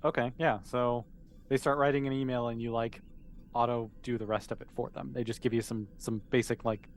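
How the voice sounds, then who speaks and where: A man's voice: medium pitch, flat delivery, brisk, slight background noise. A man casually narrating a tabletop game as game master, explaining in an easygoing, conversational way.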